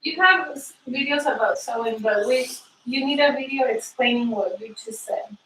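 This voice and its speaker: A woman's voice: a little high, normal speed, a bit bright, far from the mic. A woman co-host chiming in with brief, practical remarks about organizing the uploads. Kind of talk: casual chat. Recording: echoey room, off-mic, faint noise.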